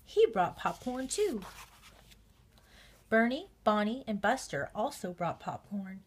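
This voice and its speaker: Casting: female children's story reader, unhurried pace, slightly husky, expressive delivery. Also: medium pitch. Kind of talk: children's read-aloud narration.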